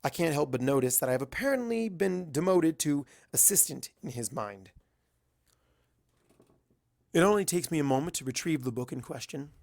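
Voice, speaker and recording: A man's voice: fairly high pitch, lively intonation, moderate pace, gravelly, darkish tone. A man reading a first-person story aloud as scripted narration. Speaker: fan fiction reader.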